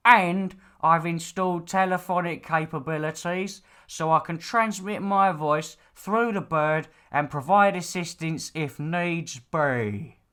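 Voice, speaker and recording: Guy doing a bossy exasperated dad in an audiobook, smooth clear voice, higher than the narrator. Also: takes it slowly, fairly bright tone. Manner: lecturing, bossy. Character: stern, nagging, exasperated father of a demon slayer. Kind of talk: audiobook character dialogue.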